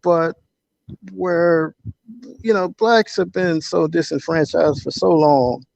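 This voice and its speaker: A middle-aged man talking casually and reflectively as an interview guest, with halting phrasing, on lo-fi call audio. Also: on the high side, steady tone, gravelly, a little mellow, no room sound.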